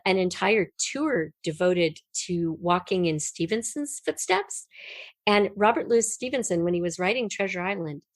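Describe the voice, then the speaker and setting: A woman's voice: lowish voice, no echo. A woman telling stories conversationally as an interview guest, with an effusive, enthusiastic manner. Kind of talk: animated conversational storytelling.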